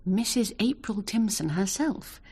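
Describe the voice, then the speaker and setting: An actress playing an assertive English barrister's wife, brisk, pointed and articulate, in a British radio drama. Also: female voice, mid-range voice, expressive, a little mellow, slightly rough. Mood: confident. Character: barrister's sharp, assertive wife, ambitious to qualify herself.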